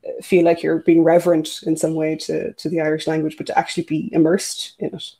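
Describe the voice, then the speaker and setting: A host asking questions in a casual interview, pausing often with "uh" and "you know". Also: medium pitch, completely flat delivery, gravelly, warm tone. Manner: hesitant, many "uh" and "you know" fillers.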